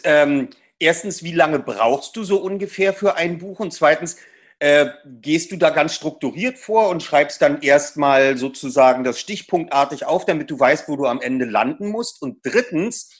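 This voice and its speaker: A man from Germany hosting a podcast, interviewing an author in a friendly way with some fillers. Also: a little high. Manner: friendly, asks questions with fillers. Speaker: podcast host.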